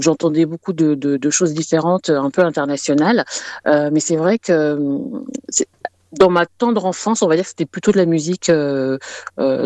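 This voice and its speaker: A woman's voice: low-pitched, mellow, no echo. A woman music artist giving conversational interview answers, often searching for her words and repeating herself.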